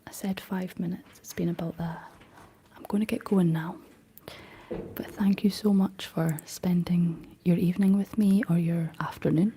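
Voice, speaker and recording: A young woman with a Scottish accent hosting a whispered ASMR livestream, chatting gently through viewer comments in a soft, unhurried way; her voice is deep for a woman. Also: steady tone, a little hiss or hum. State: slight frog in throat.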